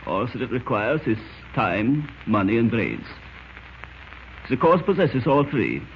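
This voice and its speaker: An actor playing a cold, fanatical German spymaster in a 1940s radio drama, with a German accent and a formal, deliberate, menacing manner. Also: male voice, medium pitch, sing-song. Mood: smug, businesslike. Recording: scratchy, muffled old-time radio recording.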